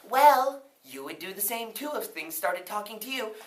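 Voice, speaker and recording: A sassy, defensive talking fishing net from a folk tale, as a woman puts it on. Its voice is pitched high and carries a roomy echo from a distance. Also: animated, moderate pace, lightly acted. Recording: roomy room, distant mic.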